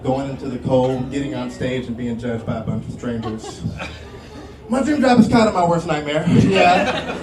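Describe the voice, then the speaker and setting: A nervous man with a lisp doing a self-deprecating stand-up comedy set on a club stage. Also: on the high side. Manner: self-deprecating, rambling asides to the crowd. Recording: roomy club sound from a distance, loud crowd laughter. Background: audience laughter and room noise.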